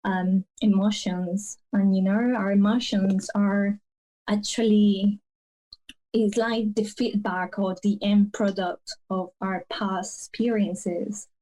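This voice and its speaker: A woman from Colombia, a podcast guest, telling her life story slowly and haltingly, with many pauses and fillers. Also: mid-range voice, poor audio. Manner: slow, soft-spoken, halting with many fillers. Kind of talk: personal storytelling interview.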